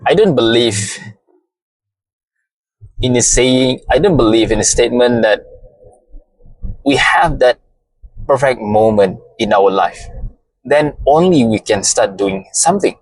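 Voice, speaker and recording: A man with a Malaysian accent, a native Malay speaker, giving a slow, deliberate motivational talk and often repeating phrases. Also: mid-range voice, expressive, no room sound, rough recording. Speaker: podcast host.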